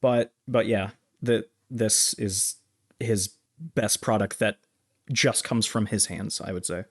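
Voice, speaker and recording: A man's voice: on the low side, steady tone, slow pace. A man co-hosting a film podcast, giving his opinions in an informal, conversational way. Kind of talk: casual film discussion.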